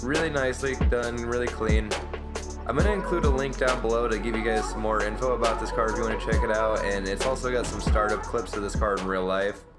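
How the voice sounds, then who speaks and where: A man with a somewhat low voice talks through a racing game in a relaxed, rambling way. His throat is sore and his voice keeps cutting in and out. Loud music plays under him throughout. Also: a little fast. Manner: relaxed, rambling car-enthusiast explanations. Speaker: gaming YouTuber. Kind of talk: casual gameplay commentary.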